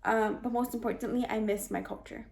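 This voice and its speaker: A young woman from Hawaii giving an earnest, reflective self-introduction for a class, with small restarts and soft laughs. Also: a little high, fairly even intonation, fairly quick, gravelly. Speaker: student introducing herself.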